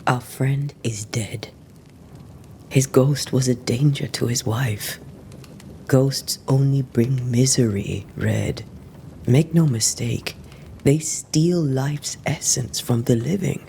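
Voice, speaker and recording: An actress playing a stern, battle-weary ghost-hunter in a video game, solemn and measured with a dry delivery. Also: female voice, extremely low pitch, creaky, dark tone, a little hiss or hum, heavily acted. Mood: grave, firm. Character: stern, battle-weary 17th-century female ghost-hunter, devoted lover. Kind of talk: dramatic game cutscene acting.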